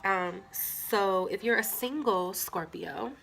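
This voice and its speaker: A woman's voice: medium pitch, slow, creaky, a bit bright, slight background noise, low-quality recording. A woman with a stuffy nose, chatting casually to viewers as she reads tarot cards. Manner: chatty, filler-heavy, talks straight to viewers. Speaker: tarot reader. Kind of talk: casual tarot reading to camera.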